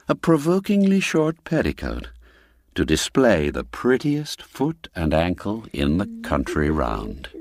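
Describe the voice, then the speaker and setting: Slow, lively-voiced male storyteller. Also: deep voice. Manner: measured, expressive storytelling. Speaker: narrator. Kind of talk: scripted storybook narration.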